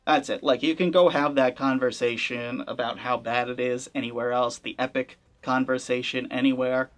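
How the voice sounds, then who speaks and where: A young man hosts a gaming video, talking straight to the camera in a loose, conversational way. He sounds skeptical and drops wry asides. His voice sits at an ordinary middle pitch. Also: steady tone, moderate pace, a bit bright. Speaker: gaming channel host. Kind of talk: casual commentary to camera. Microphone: camera-facing setup.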